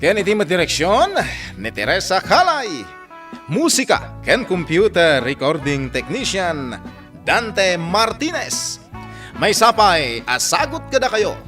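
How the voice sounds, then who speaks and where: A man with an Ilocano accent announces a radio drama over music. His voice is high for a man and very animated, with theatrical scripted delivery. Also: slow.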